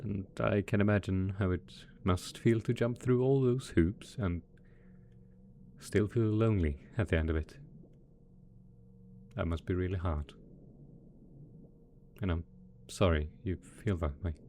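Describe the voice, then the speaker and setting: A man's voice: fairly low pitch, normal speed, dark tone, natural voice. A man voicing a melodramatic, possessive mad scientist supervillain boss in a roleplay audio drama, smug and coaxing in manner. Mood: gentle, sympathetic. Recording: faint background noise.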